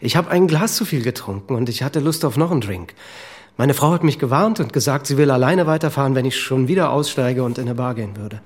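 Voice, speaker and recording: An actor playing an anxious, worn-out husband with a drinking habit, answering questions in a halting, defensive way in a radio drama. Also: male voice, mid-range voice, natural voice. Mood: defensive, uneasy. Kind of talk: naturalistic radio drama acting.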